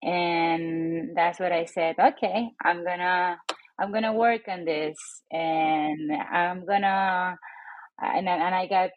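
A woman's voice: on the low side, fairly even intonation, slow, no echo, narrow, tinny audio. Woman author from Puerto Rico, dry sound. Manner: thoughtful, self-correcting storytelling. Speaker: author and professor. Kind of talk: podcast interview answers.

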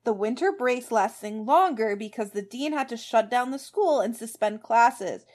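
A woman reading the part of a sarcastic, exasperated man in a story who is barely keeping calm, with emphatic delivery. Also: on the high side, normal speed, natural voice. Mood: strained calm.

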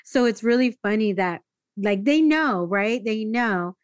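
A woman's voice: medium pitch, measured pace, clear, resonant tone, dry recording, studio quality. A woman hosting a podcast, talking casually and animatedly, with lots of "like". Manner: animated, uses "like" a lot. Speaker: podcast host. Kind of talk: casual interview conversation.

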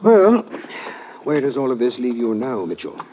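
A man's voice: medium pitch, very animated, moderate pace, a little hiss or hum, close to an everyday voice. Man playing an affable, understated British official. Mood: dry, affable. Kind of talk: old-time radio drama acting. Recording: dull old radio recording.

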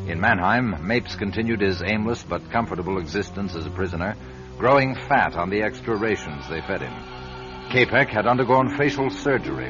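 A man narrating a 1940s radio drama in a measured, grave way. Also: lowish voice. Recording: dull lo-fi radio recording with music.

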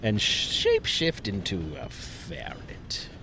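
Slow, gravelly man with wildly swinging pitch. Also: wildly swooping pitch, pushed and bright. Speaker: tabletop game player on a podcast. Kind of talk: casual improvised game chat. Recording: clear background noise.

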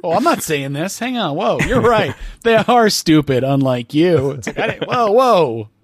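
Man in dry-miked, halting comedic banter. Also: high-pitched, big pitch swings, husky, no echo. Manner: halting restarts, acts out mock dialogues. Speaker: comedy podcast co-host.

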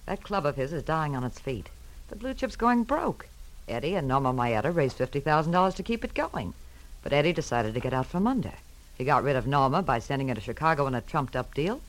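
An American actress playing a hard-edged, scheming nightclub singer in a 1940s radio noir drama, sly and slangy. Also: female voice, low voice, very animated, fairly quick, rough recording. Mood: confiding, scheming.

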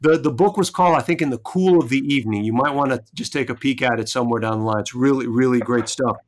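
A man's voice: mid-range voice, fast. Conversational male podcast host. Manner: conversational, long multi-part questions. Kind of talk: podcast interview.

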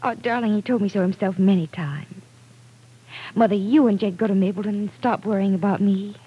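An actress playing an affectionate, reassuring grown daughter in 1940s radio soap style. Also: female voice, medium pitch, a little fast, husky, muffled recording, lightly acted. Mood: affectionate, urging. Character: reassuring grown daughter, affectionate, breezy, independent young woman.

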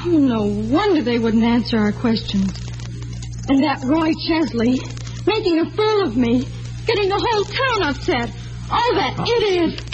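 An actress playing an earnest young schoolteacher, flustered and easily indignant, in an old-time radio drama. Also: female voice, high-pitched, lively intonation, a little slow, a little mellow. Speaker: actor. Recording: dull old radio recording with faint music.

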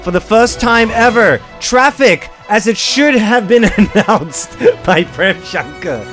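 Animated Malaysian male radio host. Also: high-pitched, slow pace, soft background music. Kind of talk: casual breakfast-show banter.

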